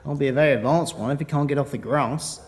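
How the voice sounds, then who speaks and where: A man's voice: mid-range voice, quick pace, noisy background, natural voice. A man playing a blithe, middle-aged ghost dad in an audio drama, with a matey, wry, indignant way of talking. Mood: exasperated. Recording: reverberant, music behind.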